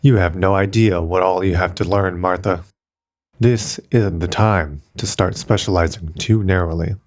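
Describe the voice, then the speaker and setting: A man reading the part of an old, kindly, resigned male archaeologist in an audiobook, gentle and reflective. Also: deep voice, fairly even intonation, moderate pace, natural voice. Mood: weary.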